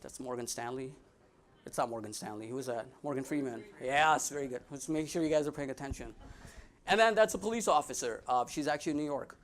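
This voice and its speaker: A man's voice: mid-range voice, fast talker. A young man with a Midwestern American accent giving a casual, joking slide presentation to an audience, with frequent fillers and restarts. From United States. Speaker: guest speaker.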